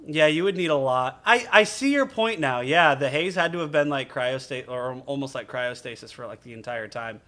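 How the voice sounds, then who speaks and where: A man giving casual live game commentary as a streamer, thinking aloud in a halting, musing way. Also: mid-range voice, animated, pushed and bright.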